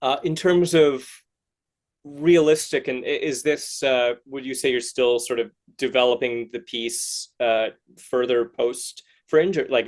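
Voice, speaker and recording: A man hosting a casual interview podcast, speaking haltingly and circling back to rephrase his questions. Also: medium pitch. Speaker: podcast host interviewing artists.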